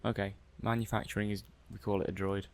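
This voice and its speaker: A man's voice: fairly low pitch, little pitch movement, quick pace, natural voice. A man playing a mild, methodical robot-testing technician in an ASMR roleplay, muttering hesitantly and matter-of-factly to himself. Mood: questioning. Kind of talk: soft-paced ASMR roleplay monologue.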